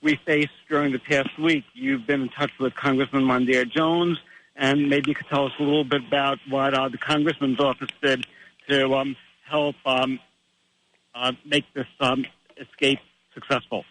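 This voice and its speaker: An American man hosting a radio interview, speaking haltingly with many pauses. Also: mid-range voice, flat delivery, a bit bright, slight background noise, low-quality recording. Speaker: radio host.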